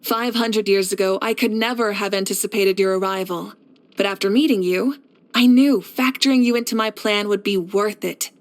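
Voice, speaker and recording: Game role: actress as a female fire deity and war leader, mid-pitched, confident, measured, kindly. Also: lightly acted. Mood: earnest, assured. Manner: assured, measured, encouraging. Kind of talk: scripted video game character voice lines.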